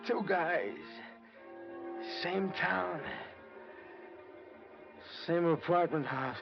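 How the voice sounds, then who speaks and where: A man's voice: a little high, drawn-out words, creaky, exaggerated voice. An actor playing a desperate, cornered man in a 1940s American radio drama. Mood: grim, resigned. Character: desperate, cornered man turned killer, bitterly resigned. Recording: muffled vintage radio recording with music.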